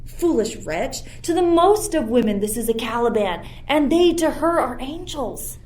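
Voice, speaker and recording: Woman acting an imperious high-voiced sorceress mother. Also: expressive, normal speed, a little mellow. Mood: angry, commanding. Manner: imperious, theatrical. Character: commanding, scornful sorceress mother, lord of an island. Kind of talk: Shakespearean radio play acting. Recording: faint background noise.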